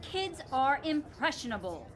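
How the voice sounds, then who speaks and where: A woman plays an indignant, self-righteous mother in an audio drama. Her fairly high voice swings widely as she accuses and complains. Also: very animated, measured pace, a crowd in the background.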